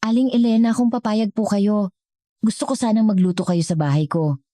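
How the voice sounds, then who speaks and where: A woman's voice: medium pitch, moderate pace, warm tone, no echo, clean studio sound, voice pushed up, close to an everyday voice. Woman voicing a courteous, wealthy elderly grandfather. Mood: earnest, reassuring.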